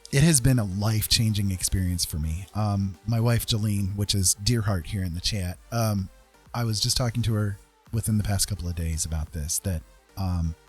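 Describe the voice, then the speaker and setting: A man casually chatting as a livestream host, earnest and encouraging, talking in a halting way with pauses. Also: fairly low pitch, darkish tone, husky. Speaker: livestream host and digital artist.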